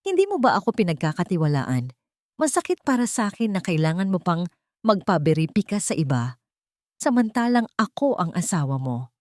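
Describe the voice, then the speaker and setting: A woman's voice: on the low side, sing-song, moderate pace, darkish tone, dry recording, studio quality. A woman voicing a manipulative, falsely tender husband in an audio story, coaxing and wounded, with a Filipino accent.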